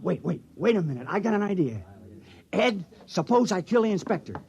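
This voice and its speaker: A man's voice: sits high, big pitch swings, a little fast, vocal fry, darkish tone, exaggerated voice. An actor playing a timid village man who hesitates and stammers in a 1950s radio drama. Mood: pleading. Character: timid young village man made town criminal. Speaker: town criminal.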